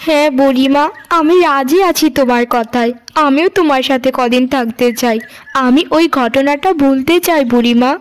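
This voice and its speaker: A woman's voice: high-pitched, normal speed, close to an everyday voice. A woman playing a sweet, humble, kind-hearted young village girl in a cartoon moral story, with an earnest, pleading manner.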